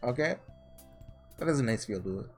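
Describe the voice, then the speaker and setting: Male visual novel playthrough host, mid-pitched and lively in intonation, informal and musing, music underneath. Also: fairly quick. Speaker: gaming video host. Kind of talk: casual playthrough commentary, reading visual novel text aloud.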